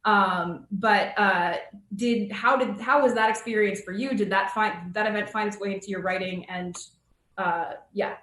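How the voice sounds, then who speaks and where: A woman's voice: medium pitch, fairly even intonation, normal speed, a bit bright. Woman moderator in a roomy space. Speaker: panel moderator. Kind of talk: conversational panel moderation. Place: indoor room on a video call. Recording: roomy video call sound.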